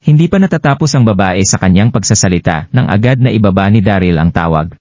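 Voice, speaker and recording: Low, mellow Filipino man narrating, roomy sound. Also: animated, quick pace. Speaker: story narrator. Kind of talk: scripted dramatized story narration. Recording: dull lo-fi, roomy echo.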